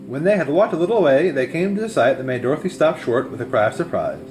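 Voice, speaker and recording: A man narrates a storybook with a lively, swooping delivery. He reads aloud expressively, and music plays softly behind him. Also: on the high side, fast talker.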